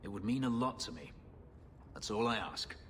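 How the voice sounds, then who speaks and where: A man's voice: medium pitch, steady tone, normal speed, a bit bright, natural voice. An actor playing a brooding, guarded male elf who escaped slavery, intense and terse, in a video game. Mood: worried, guarded. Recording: faint background noise.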